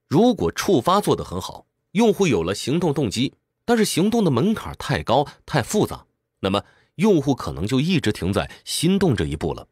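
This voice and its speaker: A man's voice: medium pitch, wildly swooping pitch, normal speed, a little mellow, husky, dry recording, studio quality. A man giving a scripted explanatory narration in a didactic way, speaking directly to the listener. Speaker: audiobook summary narrator.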